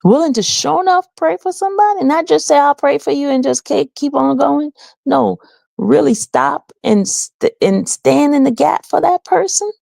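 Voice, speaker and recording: Earnest woman streamer with a gravelly edge. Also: high-pitched, expressive, moderate pace, darkish tone, dry recording. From Haiti. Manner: earnest, heartfelt, reads chat aloud. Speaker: channel host. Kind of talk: casual livestream talk to viewers.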